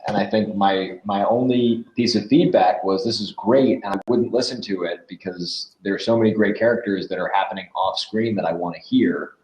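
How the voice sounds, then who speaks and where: A man's voice: lowish voice, moderate pace, few pauses, darkish tone. A man who works as a voice actor, chatting casually as an interview guest. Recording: echoey room, dull lo-fi audio.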